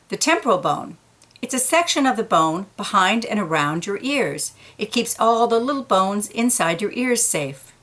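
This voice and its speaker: A woman reads aloud as if from a picture book for young children. Her pace is slow and deliberate, and her medium-pitched voice rises and falls in a lively way. She leans on key words with playful emphasis.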